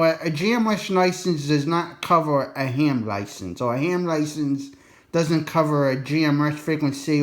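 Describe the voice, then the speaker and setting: A man giving a casual, unscripted explainer in a folksy, colloquial way, rambling a bit and repeating his warnings for emphasis. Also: fairly high pitch. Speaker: presenter of an instructional radio video.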